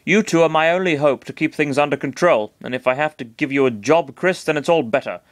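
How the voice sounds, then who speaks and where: A man's voice: fairly high pitch, fairly quick, dry recording, studio quality, lightly acted. A man voicing a smug, condescending giant cosmic hand with a sarcastic, grumbling manner.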